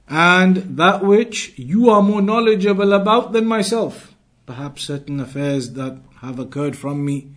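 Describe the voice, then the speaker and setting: Man teaching a religious lesson. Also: a little high. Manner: explains by rhetorical questions, switches into fluent quick Arabic recitation. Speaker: Islamic teacher. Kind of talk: classroom lesson explaining texts.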